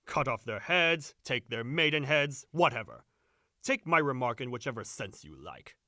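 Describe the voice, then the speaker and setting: A man's voice: medium pitch, big pitch swings, moderate pace, pushed and bright, no room sound, studio quality. An actor playing a brash, boastful young male servant with a crude swagger in an audio drama. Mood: cocky.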